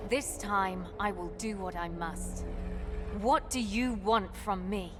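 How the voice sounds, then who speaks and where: A woman playing a resolute young mortal heroine in a fantasy game, measured and determined. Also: mid-range voice, lightly acted. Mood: wary, determined. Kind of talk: dramatic fantasy game acting. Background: game music.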